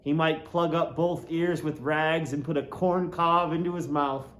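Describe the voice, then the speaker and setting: Male audiobook reader for a classic novel: very unhurried, theatrical, savouring words, slightly raised pitch. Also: fairly even intonation, a bit bright, a little hiss or hum. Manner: deliberate, dramatic, lingers on and repeats words. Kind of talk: literary narration, theatrical reading of a classic novel.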